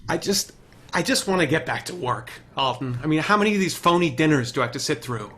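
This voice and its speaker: A man playing a frustrated, out-of-work male television writer in a screenplay table read, halting and earnest. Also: a little high, expressive, a bit bright, slight background noise.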